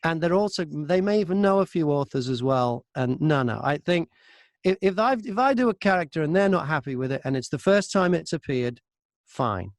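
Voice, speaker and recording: A man's voice: fairly high pitch, lively intonation, fairly quick, dry recording, clean studio sound. A man talking casually as an interview guest, telling stories about his work as an audiobook narrator and acting out remembered exchanges.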